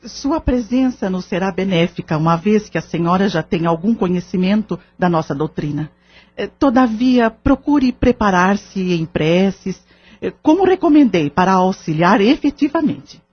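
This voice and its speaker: Kindly, husky-voiced Brazilian lady counsellor. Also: mid-range voice, expressive. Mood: reassuring. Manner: measured, formal, reassuring. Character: kindly, composed older lady of a spiritist centre. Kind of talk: audio drama acting in Portuguese. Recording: dull lo-fi audio drama recording.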